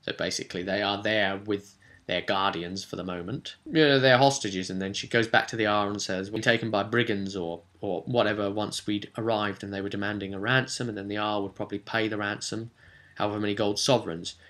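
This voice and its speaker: A man recapping a tabletop roleplaying session as game master, telling it in an animated way with emphatic asides. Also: fairly low pitch, a little fast.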